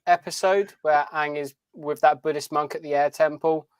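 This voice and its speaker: Man, slightly high voice, podcast co-host, casual fan talk, halting phrasing, dry sound. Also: steady tone, smooth, no room sound. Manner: halting, repeats phrases as he thinks. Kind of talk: casual fan chat about a TV series.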